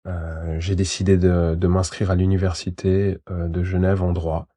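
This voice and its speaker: A man's voice: low-pitched, flat delivery, normal speed, warm tone. A man in his thirties from Switzerland, a lawyer answering interview questions in a hesitant way, with many fillers and restarts. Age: 30s. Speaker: guest, lawyer.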